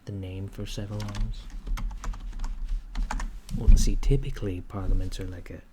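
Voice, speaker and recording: Male, lowish gentle voice for an ASMR alien clerk: curious, earnest, apologetic, near-whispered, over clearly audible noise and typing. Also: level tone, normal speed. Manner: hesitant, apologetic, earnest questioning, drifting into whispers. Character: polite, curious, naive alien data-entry clerk. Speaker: ASMR roleplay performer. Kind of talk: soft-spoken ASMR roleplay. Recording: noisy close recording with keyboard typing. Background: computer keyboard typing.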